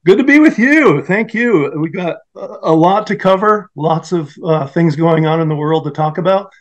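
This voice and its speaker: A man's voice: on the high side, expressive, normal speed. A middle-aged man hosting an interview podcast, talking in a friendly, upbeat way from a home office. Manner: friendly, upbeat, with fillers and restarts. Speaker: podcast host. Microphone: podcast mic on a video call. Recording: slightly dull, lo-fi sound.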